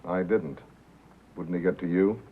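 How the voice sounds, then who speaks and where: An actor playing a wry, guarded, quietly troubled radio reporter in a 1950s film drama, with dry, understated, clipped replies. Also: male voice, low-pitched, a little slow. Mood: troubled, subdued. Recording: tinny, noisy 1950s film soundtrack.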